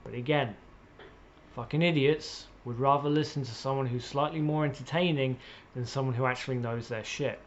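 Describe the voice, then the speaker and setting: A man delivering an opinionated political rant in a blunt, sweary, exasperated way, with emphatic pauses and repetition, on slightly muffled audio. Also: mid-range voice, fairly even intonation, slight background noise. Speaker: political commentator.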